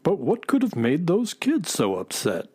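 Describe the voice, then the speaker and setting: A man's voice: a little high, huge pitch swings, measured pace, warm tone, breathy edge, heavily acted. A man playing a worldly, wry space-adventurer sidekick in a children's audio drama, speaking expressively. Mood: concerned.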